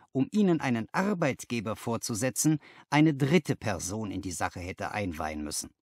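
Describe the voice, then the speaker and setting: A man voicing a confident, analytical gentleman detective who lectures emphatically in an audiobook, posing rhetorical questions. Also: mid-range voice, dry recording, studio quality. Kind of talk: audiobook character dialogue.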